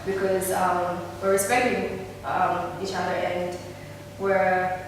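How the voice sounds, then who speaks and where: A woman's voice: on the low side, level tone, slow pace, noisy background. A woman, a workshop participant, reflecting on a workshop in an enthusiastic, chatty way. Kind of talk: personal reflection in group. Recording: echoey hall from far off.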